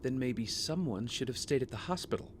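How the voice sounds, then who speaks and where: A man playing a tender, protective young vigilante in an audio drama, speaking gently and with concern. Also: mid-range voice, lively intonation, brisk, close to an everyday voice. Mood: gentle, teasing. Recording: clear background noise and ambience.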